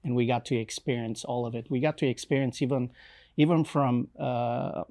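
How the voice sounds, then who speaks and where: A young man from Romania with a Romanian accent, telling his life story in an interview with many pauses, fillers and restarts. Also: mid-range voice, steady tone, dark tone. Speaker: missionary guest. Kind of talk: interview, personal testimony.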